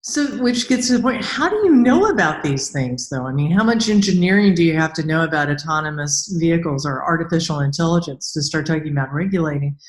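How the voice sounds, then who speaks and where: A woman's voice: deep voice, expressive, fast, hardly any pauses. A woman hosting an interview in a casual, playful way, asking plain questions. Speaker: podcast host, engineer.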